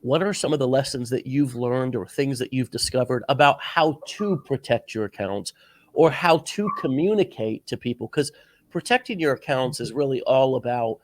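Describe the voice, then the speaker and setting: A man's voice: mid-range voice, expressive, normal speed, no echo. A man hosts an easygoing interview while fighting a sore throat and a stuffy nose. He circles his points and repeats words as he thinks. The sound is close and dry, with no room around it. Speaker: podcast host interviewing a guest. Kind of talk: casual interview chat.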